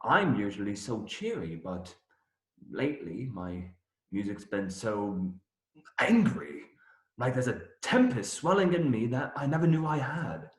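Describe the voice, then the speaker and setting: An actor playing a young minstrel, cheery by nature but troubled, earnest and folksy in a radio play. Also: male voice, mid-range voice, sing-song, a little slow. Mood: troubled, bitter. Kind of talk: theatrical radio play acting. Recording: roomy echo.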